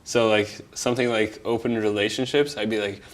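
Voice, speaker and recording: A young man from Egypt telling his life story in a casual, halting way, with many fillers and restarts and offhand, candid swearing. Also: lowish voice, steady tone.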